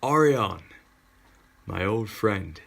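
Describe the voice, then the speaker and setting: A man playing an older, courtly nobleman and father in an audio drama, with a gravelly voice. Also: on the low side, expressive, very slow, a bit bright, exaggerated voice. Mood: hearty. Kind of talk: scripted audio drama acting.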